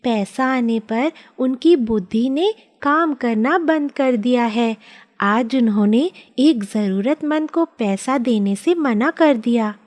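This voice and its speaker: A woman's voice: fairly high pitch, takes it slowly, a little mellow. A woman voicing a kind, worried wife with a conscience in a cartoon story, earnest and admonishing. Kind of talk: children's cartoon story dialogue.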